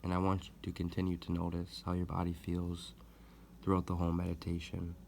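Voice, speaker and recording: A man leading a guided meditation as host, speaking gently and deliberately with long pauses. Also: deep voice, completely flat delivery, gravelly, slight background noise. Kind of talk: guided meditation, soothing instruction.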